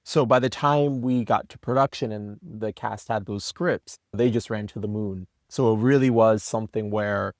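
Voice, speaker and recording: A man's voice: fairly low pitch, fairly even intonation, moderate pace, few pauses, no echo, clean studio sound. A man being interviewed as a writer, talking in a conversational, anecdotal way. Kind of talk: interview talk.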